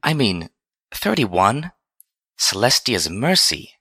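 A prim, velvety pony lady, acted out by a male narrator for a storybook. The delivery is smooth and genteel with a teasing streak, crisp and bright, recorded very dry. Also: on the low side, very animated, slow, no room sound, voice pushed up, full character voice. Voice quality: smooth, silky. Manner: formal, genteel diction.

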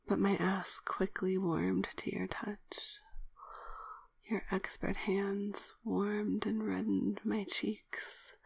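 A woman narrating a scripted, sensual first-person story in a hushed, breathy whisper, speaking in short deliberate phrases. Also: mid-range voice. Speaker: narrator of erotic audio story.

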